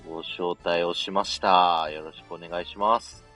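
A man's voice: deep voice, steady tone, moderate pace, bright, forceful tone, faint music behind. A man hosting a casual audio chat and introducing guests. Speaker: host.

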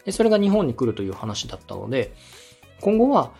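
A man in his thirties speaks as a podcast host, fast and very animated in pitch. His voice is slightly husky, and he gives advice politely and with encouragement over faint music. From Osaka, Japan. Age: 30s. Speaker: podcast host and radio director.

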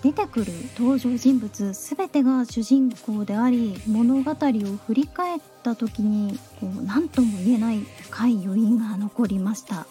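A woman's voice: a little high, unhurried, hardly any pauses, warm tone, faint music behind. A woman giving a polite, reflective promotional testimonial.

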